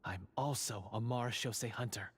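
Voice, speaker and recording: An actor playing a grave, urgent undercover dungeon guard in a fantasy video game. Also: male voice, lowish voice, moderate pace, background noise, lightly acted. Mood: hushed, urgent.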